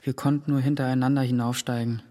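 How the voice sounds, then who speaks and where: A man's voice: mid-range voice, flat delivery, quick pace, warm tone, natural voice. A young man playing a tense teenage boy who recounts in plain, clipped phrases in a radio play. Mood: tense, matter-of-fact. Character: tense teenage boy recalling a rooftop confrontation. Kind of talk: German radio play acting.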